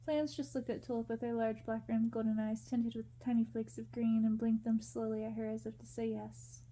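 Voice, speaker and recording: Very mellow, hushed woman reading ASMR. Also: a little high, little pitch movement, moderate pace, low-quality recording. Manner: gentle, hushed. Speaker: ASMR reader. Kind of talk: soft ASMR storybook narration.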